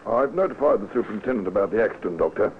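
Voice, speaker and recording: A man's voice: lowish voice, animated, rapid-fire, lightly acted. A deferential village constable played by a man in a vintage radio drama. He reports dutifully with wide-ranging intonation. The recording is narrow and lightly hissy. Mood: dutiful, polite. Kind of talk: old-time radio acting. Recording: scratchy, tinny old-time radio recording.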